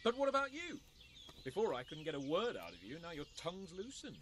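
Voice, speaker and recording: A man's voice: a little high, wildly swooping pitch, fairly quick, a bit bright. A man playing a haughty, flippant young medieval nobleman who gives orders with condescension, in a video game. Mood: curious. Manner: condescending, imperious. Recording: clear outdoor nature noise behind. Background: birdsong and outdoor ambience.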